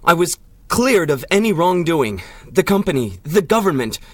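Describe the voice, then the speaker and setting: An actor playing a frightened, broken research scientist in a radio drama, halting and pleading. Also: male voice, fairly high pitch, very animated, fairly bright tone, no room sound. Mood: anguished, pleading. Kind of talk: 1930s-style radio drama acting.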